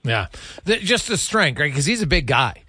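A man with a slightly high voice interviews on sports radio. He talks conversationally and thinks aloud into his questions, with a dry studio sound free of room echo. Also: very animated, normal speed, sharp tone, husky.

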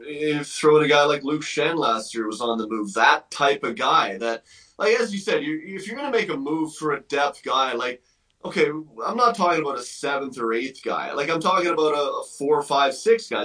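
A man's voice: fairly high pitch, a bit bright, distant mic. A man giving emphatic sports commentary as a guest analyst, thinking aloud and repeating phrases as he builds his point.

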